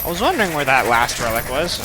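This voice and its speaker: Guy doing a lets play, self-deprecating, medium pitch, gunfire and game noise behind him. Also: very animated, fast. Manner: self-deprecating, wry asides. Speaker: gaming video host. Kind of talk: casual let's play commentary. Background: game gunfire and ambience.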